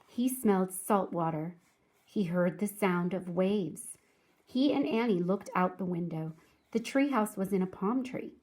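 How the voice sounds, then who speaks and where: A woman reading aloud to children like a teacher, speaking clearly and deliberately in a storybook narration style. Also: lowish voice, darkish tone, poor audio. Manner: clear, deliberate, addresses young listeners.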